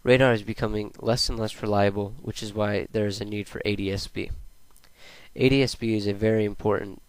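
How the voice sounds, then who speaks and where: A young man narrates a scripted student presentation in a plain, read-aloud way. His voice is smooth and clean, with very little rise and fall. The sound is dry, with no room echo. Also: fairly low pitch, fairly even intonation, brisk.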